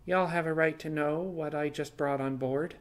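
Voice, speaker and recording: A man's voice: a little high, fairly even intonation, moderate pace, voice pushed up, natural voice. A man voicing a young female rabbit fugitive in audio fiction, resigned and apologetic, talking in a plainspoken, hesitant, confessional way. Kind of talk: audio fiction character dialogue.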